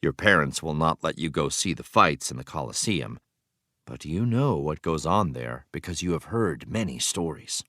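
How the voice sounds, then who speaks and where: A man reading an educational text aloud as a teacher, with expressive storytelling emphasis. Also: extremely low pitch, normal speed, no echo, clean studio sound. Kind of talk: scripted educational read-aloud narration.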